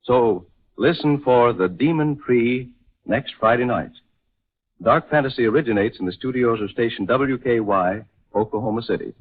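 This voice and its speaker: A man's voice: mid-range voice, moderate pace, breathy edge. An American man reading a formal scripted announcement as a 1940s radio announcer. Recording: dull, narrow vintage radio recording.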